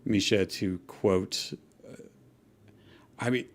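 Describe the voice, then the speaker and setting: A man's voice: fairly low pitch, fairly even intonation, slow. A man chatting casually and jokingly as part of a group reading stories. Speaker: panel member.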